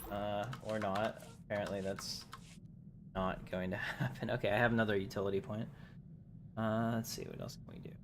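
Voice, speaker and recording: A man's voice: fairly low pitch, animated, moderate pace, gravelly. A man giving casual live commentary as he streams a video game. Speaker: game livestreamer. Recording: noisy livestream with game audio.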